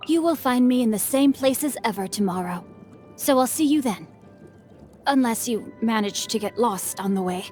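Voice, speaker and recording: Game role: mischievous young noble lad played by a woman, lightly high voice, polite formal diction, bookish and teasing, over soft music. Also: lightly acted. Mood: composed, cheerful. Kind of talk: scripted game character voicelines. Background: background music.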